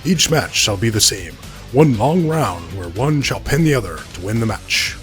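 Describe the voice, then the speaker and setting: A man's voice: on the low side, expressive, normal speed, a bit bright, soft background music. A man voicing a matter-of-fact co-host of a cartoon wrestling show, presenting from a script. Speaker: co-host, commentator.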